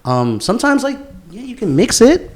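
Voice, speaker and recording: A man's voice: very high voice, very animated, normal speed, darkish tone. A man co-hosting a podcast in loose, slangy, profane chat with friends. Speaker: podcast co-host.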